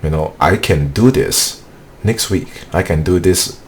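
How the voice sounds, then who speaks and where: A low-voiced man leads a motivational live-stream training for remote sales closers. He talks earnestly and repeats himself, dropping "okay" between points. A faint hum sits under the voice. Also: measured pace. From Singapore. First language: not English. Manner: earnest, repetitive, peppers speech with "okay".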